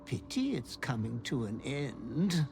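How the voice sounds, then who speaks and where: Game casting: servile, sly demonic manservant, theatrical and gleefully cruel, extremely animated melody, unhurried delivery. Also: male voice, mid-range voice, creaky, background noise, full character voice. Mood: mock pity. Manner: theatrical, obsequious, savoring words with drawn-out phrasing. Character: sly servile goblin-like fiend butler, gleefully murderous, fawning. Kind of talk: video game character acting.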